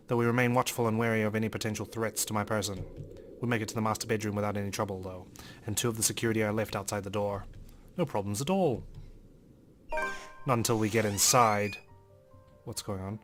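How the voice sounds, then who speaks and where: A man's voice: fairly low pitch, steady tone, fairly quick. A man reading a visual novel aloud as a let's play host, in a dramatic first-person narration style. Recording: music under the voice.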